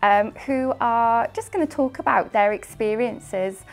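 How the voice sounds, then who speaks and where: Woman, course coordinator, higher voice, relaxed explainer tone, music bed. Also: unhurried. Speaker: curriculum development coordinator. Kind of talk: promotional talk.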